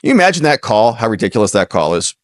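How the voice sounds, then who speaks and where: A man's voice: mid-range voice, sing-song, fast, dry recording, studio quality. An American man hosting a news commentary show, reading reports and breaking off into casual, sarcastic, incredulous asides. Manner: acts out imagined dialogue, mocking and incredulous.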